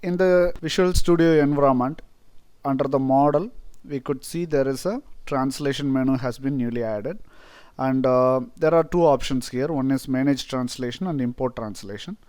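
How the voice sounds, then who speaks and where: A man from India, a Tamil native speaker with an Indian accent, explaining a software feature step by step with many "uh" fillers. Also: mid-range voice, normal speed, clean tone, no echo. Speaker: software tutorial presenter. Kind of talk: screen-recorded software tutorial.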